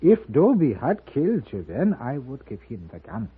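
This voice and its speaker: An actor playing a kindly, earnest elderly German gunsmith in a 1950s Western radio drama, speaking with a German accent. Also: male voice, mid-range voice, wildly swooping pitch, moderate pace, husky, exaggerated voice. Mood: earnest, grateful. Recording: muffled phone-like old radio recording.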